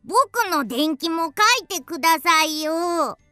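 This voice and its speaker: A woman's voice: extremely high pitch, expressive, slow, pushed and bright, no room sound, studio quality, soft background music, exaggerated voice. A woman voicing a cheeky young male pig who whines and teases in a radio drama. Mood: whining. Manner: pert, interjecting, teasing. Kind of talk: Japanese radio drama acting.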